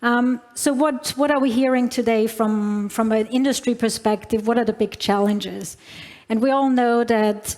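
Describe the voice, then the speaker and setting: A woman's voice: a little high, moderate pace, darkish tone. Woman with a foreign accent in a reverberant hall. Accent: non-native English. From Alpine region. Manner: frequent fillers and restarts, addresses audience directly. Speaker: keynote speaker. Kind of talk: conference keynote speech. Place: large conference hall.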